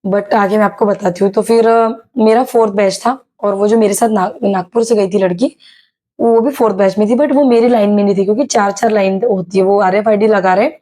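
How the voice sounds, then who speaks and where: A young woman from Maharashtra with a slightly sore, hoarse throat, telling a casual vlog story with many hesitations. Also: medium pitch, dark tone. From Maharashtra, India. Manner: chatty, many hesitations and English words mixed in. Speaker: YouTuber sharing her exam experience.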